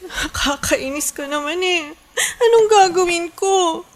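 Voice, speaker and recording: A woman's voice: very high-pitched, a little slow. An actress playing a heartbroken, trusting young woman in a Filipino radio melodrama, plaintive and tearful, with a Filipino accent. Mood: tearful, hurt.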